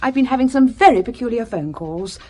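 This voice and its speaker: An actress playing a prim, anxious, conscientious British secretary in a radio drama, formal and earnest. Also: female voice, fairly high pitch, lively intonation, brisk, darkish tone. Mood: flustered.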